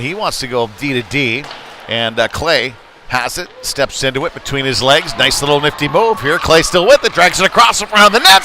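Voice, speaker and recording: A man calling live play-by-play of a youth hockey game in a folksy, conversational way, with analytical asides. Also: on the high side. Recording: noisy rink ambience behind voice.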